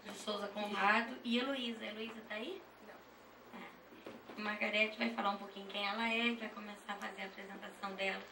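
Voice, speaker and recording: A Brazilian woman moderating a session informally and off the cuff, halting and correcting herself as she goes. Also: mid-range voice, fairly even intonation. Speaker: session chair introducing speakers. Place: echoey session room at a university dance school. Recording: echoey room, distant mic, clear noise.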